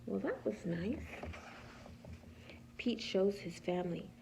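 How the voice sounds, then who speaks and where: A woman reading a picture book aloud as a preschool teacher, pausing to ask small children simple questions and patiently explaining the answers. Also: mid-range voice, gravelly, slight background noise, low-quality recording. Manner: animated, asks simple questions and answers them herself.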